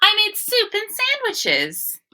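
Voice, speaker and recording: A hospitable storybook mother, acted out by a woman reading aloud. She is welcoming and sing-song, with a high, bright voice. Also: huge pitch swings, slow, exaggerated voice.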